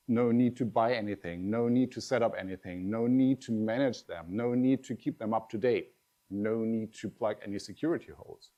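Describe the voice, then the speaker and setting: A middle-aged man whose first language is German, giving a lively, conversational tech conference talk and addressing the audience directly. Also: medium pitch. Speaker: conference speaker.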